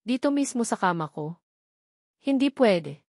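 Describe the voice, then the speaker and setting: A woman's voice: fairly high pitch, big pitch swings, unhurried, a little mellow, no echo, clean studio sound, heavily acted. A woman voicing a proud, stubborn young wife with a defensive, sulky manner in an audiobook. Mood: annoyed, protesting.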